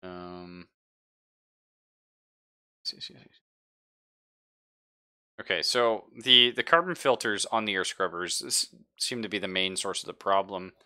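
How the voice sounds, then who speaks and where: A man acting as game master in a tabletop roleplaying game, describing things conversationally and haltingly as he thinks aloud. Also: low-pitched, bright, forceful tone, clear, resonant tone. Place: live-streamed game session.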